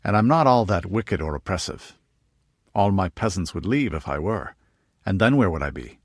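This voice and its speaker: Male reader as a composed young baron, low voice, close and dry. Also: moderate pace, no echo. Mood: calm. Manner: calm, listing plainly. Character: young nobleman, calm and dryly helpful.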